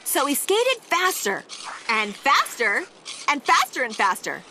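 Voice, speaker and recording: A woman reads a children's story in a high voice that swoops up and down dramatically. She leans playfully into key words for emphasis. There is clear noise in the background of the recording. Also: wildly swooping pitch, measured pace. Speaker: read-aloud storyteller. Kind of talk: animated children's picture book reading.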